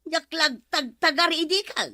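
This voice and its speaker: Babaeng gumaganap na matinis at mapaghinalang asawang mabunganga. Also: female voice, extremely high pitch, measured pace, sharp tone, dry recording, studio quality, heavily acted. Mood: scolding. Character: nagging, suspicious village wife guarding her money. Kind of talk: comedy radio drama acting.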